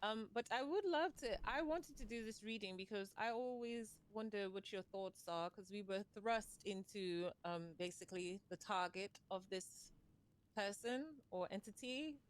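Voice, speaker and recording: Woman as fantasy guild member hosting a reading: clean, smooth tone, polite, casual, feeling her way. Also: medium pitch, a little slow, lightly acted. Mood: earnest. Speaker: roleplayer leading the discussion. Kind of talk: improvised in-character roleplay, casual.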